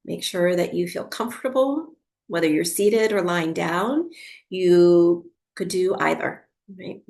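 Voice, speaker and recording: Low, creaky-voiced woman guiding meditation. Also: darkish tone. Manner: soothing, unhurried with long pauses. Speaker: yoga and breathwork teacher. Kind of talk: guided meditation for sleep.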